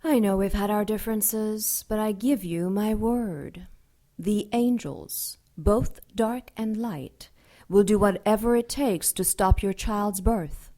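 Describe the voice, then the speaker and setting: Woman acting, demon, dark angel, female, mid-range voice, menacing, grave, audio drama. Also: medium pitch, slow, close to an everyday voice. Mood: grave, urgent.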